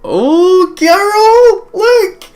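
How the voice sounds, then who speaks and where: A man's voice: very high voice, expressive, extremely slow, darkish tone. A man reading a visual novel aloud and reacting in a playful, chatty way, often repeating words. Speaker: let's player reading and reacting. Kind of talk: playful let's play commentary and read-aloud narration. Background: game music.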